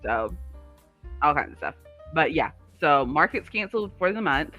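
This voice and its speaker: A man's voice: fairly high pitch, sing-song, moderate pace, muffled recording, faint music behind. A man co-hosting a livestream, chatting casually in a rambling, digressive way. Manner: chatty, digressive, talks to live viewers. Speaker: livestream co-host.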